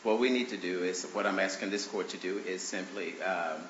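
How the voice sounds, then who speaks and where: Deep, congested man arguing in court. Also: steady tone, fairly bright tone. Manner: halting, many "um" and restarts, earnest. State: congested, deeper from allergies. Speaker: plaintiff arguing his own motions. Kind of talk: courtroom argument. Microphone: courtroom microphone on a stand. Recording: roomy, dull courtroom recording with faint hiss.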